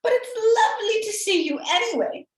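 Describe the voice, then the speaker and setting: A woman's voice: very high-pitched, lively intonation, brisk, voice pushed up. A woman voicing a frail, kindly old grandmother in a storybook reading, in an echoey room. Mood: cheerful.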